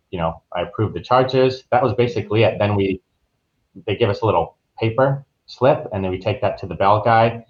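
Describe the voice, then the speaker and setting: Male podcast guest, lowish voice, easygoing conversational delivery, slightly muffled lo-fi audio. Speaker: podcast guest, travel agent. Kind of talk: casual conversational recounting.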